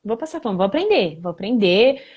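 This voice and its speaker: A woman guest, a former exchange student, telling lively, fast anecdotes in a casual interview. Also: medium pitch, slightly rough, muffled recording.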